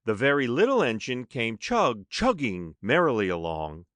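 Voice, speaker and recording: A man's voice: medium pitch, very animated, moderate pace, a bit bright, no room sound. A man narrating a children's story expressively, with dramatic emphasis as an audiobook narrator.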